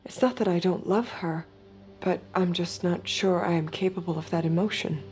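A woman acting as a guarded young escort with a low, soft-edged voice. She speaks coolly and evasively, as if confiding an inner monologue in an audio drama, with music around her. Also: steady tone, normal speed, natural voice. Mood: confessional, brooding. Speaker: lead character and narrator.

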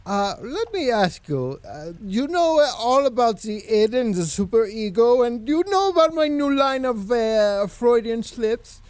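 A woman's voice: fairly high pitch, big pitch swings, unhurried, hardly any pauses, a little mellow. A woman playing a gleefully perverse, elderly male psychoanalyst in hell, pedantic and unflappable, in improvised comedy. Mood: eager, smug. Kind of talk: improvised comedy character interview.

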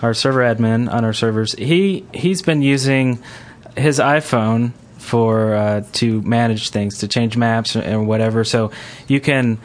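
A man's voice: mid-range voice, measured pace. A man hosting a podcast in a casual, conversational style. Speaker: podcast host. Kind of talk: casual gaming podcast chat. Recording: music clearly playing behind the voice. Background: music.

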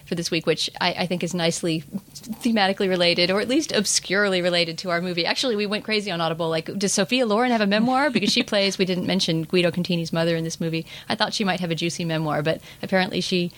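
A woman's voice: lowish voice, steady tone, fast, hardly any pauses, a bit bright. A woman hosting a podcast, discussing film casually in an opinionated, wry and informal way. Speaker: podcast host.

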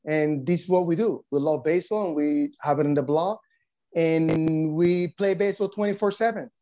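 A man from the Dominican Republic with a Dominican Spanish accent, speaking earnest, halting English as a guest coach. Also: a little high, fairly even intonation, mellow.

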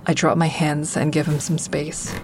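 A woman's voice: sits low, little pitch movement, normal speed, noisy background. An actress playing a tense, watchful woman who narrates her own troubled story in an audio drama. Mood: somber, uneasy. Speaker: narrator and lead actor in a fiction podcast. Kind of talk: first-person dramatic narration.

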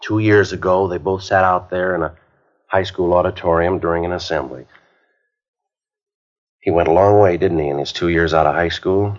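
An actor playing an earnest police sergeant rehearsing a school speech in a 1950s radio drama, heard on a muffled old broadcast recording. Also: male voice, low voice, close to an everyday voice. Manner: earnest, plain-spoken lecturing. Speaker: police detective giving a speech.

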